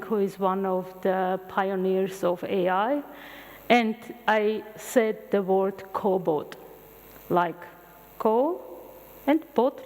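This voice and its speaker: A middle-aged woman whose first language is Armenian gives an open university lecture in English, telling stories with halting restarts. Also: mid-range voice. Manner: animated storytelling with halting restarts. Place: large lecture hall. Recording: long hall reverb.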